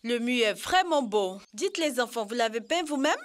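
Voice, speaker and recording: A woman playing an affectionate, shrewd grandmother who gently scolds, in an educational cartoon dub. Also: on the high side, lively intonation, moderate pace, lightly acted. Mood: curious, admiring. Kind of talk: dubbed educational cartoon acting.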